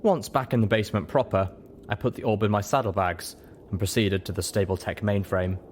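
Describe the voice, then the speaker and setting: A man's voice: lowish voice, fairly even intonation, a little fast, a little mellow. A man reading scripted first-person story narration as an audiobook-style narrator. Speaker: narrator of a fan fiction reading. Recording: faint background noise.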